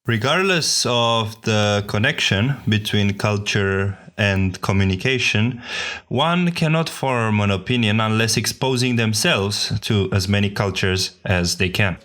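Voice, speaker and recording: A man's voice: medium pitch, pushed and bright. Podcast host guy reading his script, mid voice, talks right to the listeners. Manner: reads smoothly from a script, giving advice directly to listeners. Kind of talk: scripted narration.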